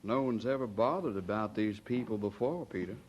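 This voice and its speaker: Churchman, played by an actor: sympathetic companion, plain-spoken, fairly low voice, faint background noise, radio drama. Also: brisk, lo-fi sound, natural voice. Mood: sympathetic, curious.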